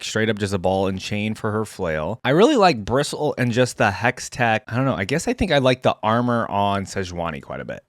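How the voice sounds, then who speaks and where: A man's voice: mid-range voice, no echo, studio quality. A man giving casual, off-the-cuff reaction commentary as a video host, rambling informally as he thinks aloud.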